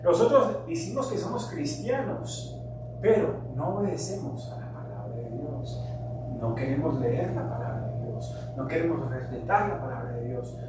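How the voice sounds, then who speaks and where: A middle-aged man born in Colombia whose first language is English, preaching a sermon earnestly, sometimes forceful and sometimes quiet. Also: fairly high pitch, very animated, moderate pace. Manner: earnest preaching with shifts between emphatic and quiet. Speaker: pastor. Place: church sanctuary. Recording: very echoey, distant mic, hum.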